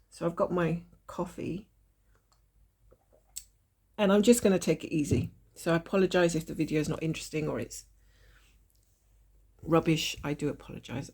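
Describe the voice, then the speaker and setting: A woman with a fairly low voice chats through a stitch-along from her desk. A lingering cold leaves her sounding nasal and slightly hoarse. She talks in a relaxed, apologetic way, laughing softly now and then. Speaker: cross-stitch vlogger. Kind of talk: casual stitch-along chat. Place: at a desk indoors.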